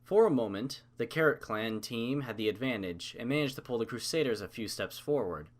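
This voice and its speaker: A man's voice: medium pitch, animated, brisk. A man narrating a scripted story as an audiobook reader.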